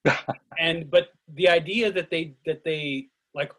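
A man with a husky voice hosts a casual discussion. He talks in a halting, digressive way, like someone thinking aloud. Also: on the high side, sharp tone. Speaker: actor and director hosting a play reading.

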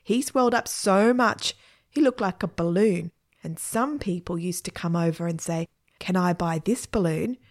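A woman's voice: on the low side, moderate pace. A woman voicing a matter-of-fact male character who explains absurd sad tales in a children's story.

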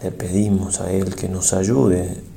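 A man, a priest, leads a guided prayer meditation in a solemn, reverent and measured way, pausing between short phrases. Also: sits low, flat delivery, gravelly, warm tone, a little hiss or hum.

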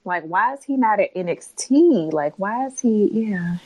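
A playful woman co-host who tells lively stories and acts out her reactions. Her pitch swings widely, jumping up high and dropping back down. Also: measured pace, poor audio. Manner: animated, playful storyteller. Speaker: podcast co-host. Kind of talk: casual chat about wrestling.

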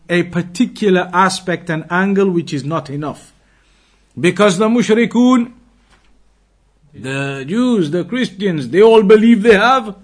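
A man giving a religious lesson in a didactic, emphatic way, repeating key phrases and reciting quotations. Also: high-pitched. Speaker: Islamic teacher.

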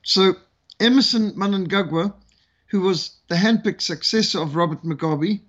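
A man's voice: sits high, moderate pace, fairly bright tone, highs cut off. A man from Zimbabwe talking as a guest commentator, explaining events with dry sarcasm and frequent fillers. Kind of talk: political commentary in conversation.

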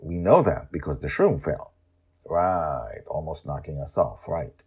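A man hosting a story podcast, narrating scripted fiction in a theatrical way with dramatic pauses and swoops. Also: rumbling low, creaky, a little mellow, breathy edge.

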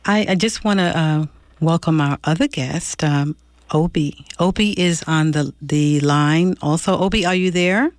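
Radio host, studio, mid-pitched, dry close sound, many fillers. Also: measured pace, no room sound. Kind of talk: radio interview hosting.

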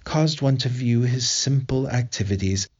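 A man reading a literary essay aloud with measured, deliberate phrasing. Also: mid-range voice, a little mellow, slightly rough, highs cut off. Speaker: reader of an essay.

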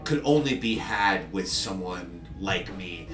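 A very deep-voiced man telling stories from his podcasting work, hesitant and full of fillers and restarts. He sounds roomy and set back from the mic, with faint music underneath. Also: animated, takes it slowly, recorded from a distance. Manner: rambling, many fillers and restarts. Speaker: podcaster and journalist. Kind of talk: casual conversational interview.

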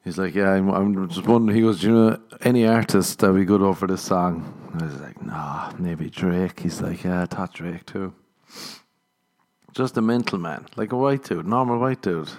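A man's voice: deep voice, moderate pace, a little mellow. A man, a comedian hosting a podcast, rambling casually through stories, swearing and laughing at his own jokes. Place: living in Los Angeles.

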